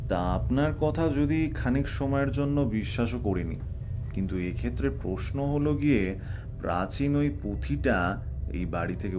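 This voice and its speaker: A man playing a courteous but probing private detective in an audio drama, talking in a measured, questioning way. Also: mid-range voice, warm tone, slight background noise, natural voice.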